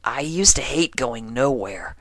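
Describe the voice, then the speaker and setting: A performer reading fan fiction aloud as a dramatic first-person narration, in a deliberate manner. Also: medium pitch, lively intonation, a bit bright, no room sound.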